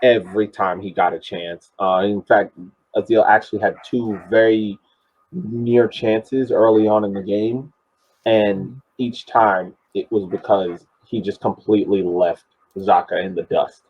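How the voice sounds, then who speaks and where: A man giving casual match analysis as a podcast panelist, with halting, repetitive phrasing. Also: on the low side, steady tone, slightly rough. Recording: muffled, dull audio.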